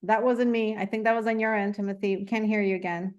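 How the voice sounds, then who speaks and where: A woman's voice: medium pitch, steady tone, fast talker, darkish tone, highs cut off. Woman hosting a video call. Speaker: group leader and host.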